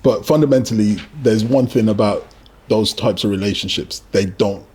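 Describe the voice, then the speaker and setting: A man's voice: on the low side, moderate pace, a little mellow, slightly rough. A man hosting a podcast discussion, asking questions and rephrasing them as he talks. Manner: probing, rephrases questions while speaking. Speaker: podcast host. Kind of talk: casual discussion.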